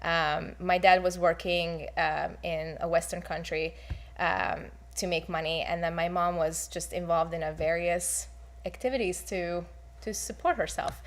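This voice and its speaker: A woman's voice: fairly low pitch, steady tone, a little slow. A young woman from Hungary, speaking candidly with many fillers as she shares her personal testimony. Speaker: guest.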